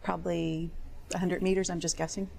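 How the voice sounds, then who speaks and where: Woman acting accident claimant, gravelly vocal fry, lowish pitch, careful factual answers, understated, slightly hesitant. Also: little pitch movement, fairly quick, darkish tone. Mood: careful, subdued. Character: plain-spoken, careful female car crash claimant under questioning. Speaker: witness in a dramatized discovery. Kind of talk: testimony, short factual answers.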